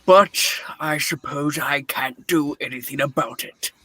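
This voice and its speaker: Radio play casting: sour old miser performed by a man, high voice, unhurried, curt, scornful, very dry sound. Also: bright, forceful tone, dry recording, rough recording. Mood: grudging, sour. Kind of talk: theatrical radio play reading.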